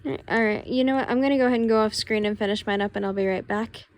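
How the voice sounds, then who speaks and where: A young woman with a tired, raspy voice, casually talking through a craft tutorial step by step while she works. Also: medium pitch, very fast. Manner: relaxed, talks while working with hands.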